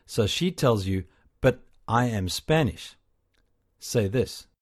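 Slow, lively-pitched male lesson narrator. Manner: calm, measured prompting. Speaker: language course narrator. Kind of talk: scripted instructional narration.

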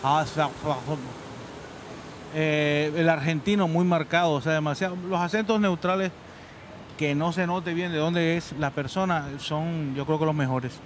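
A man with a slightly high voice, chatting casually in a street interview. He pauses and hesitates often as he thinks. A faint noise sits behind him. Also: fairly even intonation. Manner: hesitant, many fillers.

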